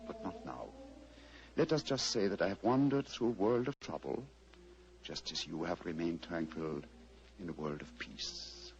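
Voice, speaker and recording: An actor playing a keen, courteous and resolute detective in a radio drama, with precise, formal speech. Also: male voice, deep voice. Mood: grave, regretful. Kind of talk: 1940s radio drama acting. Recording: muffled, hissy vintage radio recording.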